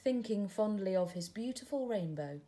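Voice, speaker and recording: Expressive woman reading a storybook. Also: medium pitch, moderate pace, a little hiss or hum. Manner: expressive, gently paced storytelling. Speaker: teacher reading aloud. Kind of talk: storybook read-aloud. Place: living room at home, indoors.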